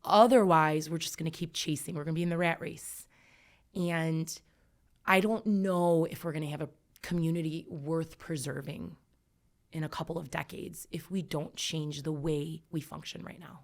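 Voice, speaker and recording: Low gravelly-voiced woman educator. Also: fairly even intonation, moderate pace. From United States. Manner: reflective, emphatic, many fillers. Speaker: educator guest. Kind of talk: earnest podcast conversation.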